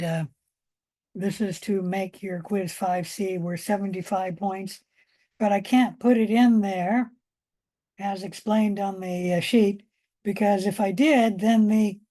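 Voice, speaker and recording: A woman with a hoarse voice giving an informal biology lecture, explaining step by step with pauses and repetition. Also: mid-range voice, steady tone, mellow.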